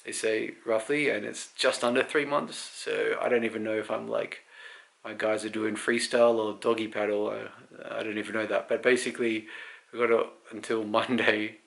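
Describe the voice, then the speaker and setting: Gravelly man in his thirties, casual vlog. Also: lowish voice, level tone, fairly bright tone. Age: 30s. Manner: rambling, candid, halting thinking aloud. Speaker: vlogger. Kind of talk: casual unscripted personal health update.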